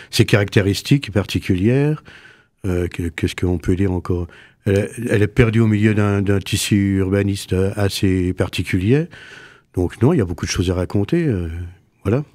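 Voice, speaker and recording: Man in his 70s, low voice, dry close studio sound, hesitant, searching for words. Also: unhurried, darkish tone, no room sound. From Lorraine, France. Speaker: association vice-president, guest. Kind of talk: radio interview. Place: radio studio.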